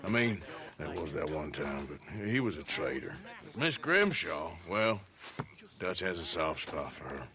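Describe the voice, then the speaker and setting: A man's voice: low voice, very animated, a little slow, crowd noise, heavily acted. A man with an American accent playing a wry, guarded, laconic Old West outlaw with folksy dryness in a video game. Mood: dry, amused. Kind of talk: naturalistic video game acting.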